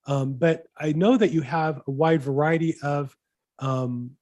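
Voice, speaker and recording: A man's voice: fairly high pitch, measured pace, a little mellow. A man hosting a weekly real estate video call, upbeat and enthusiastic, asking long rambling questions. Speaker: host, real estate broker. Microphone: video call mic.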